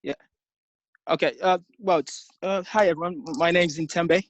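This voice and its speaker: A man's voice: fairly high pitch, steady tone, measured pace, dry recording. A man from Zambia contributing to an online webinar Q&A, hesitant with many fillers. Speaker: webinar attendee. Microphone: video call. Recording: dull lo-fi video call audio.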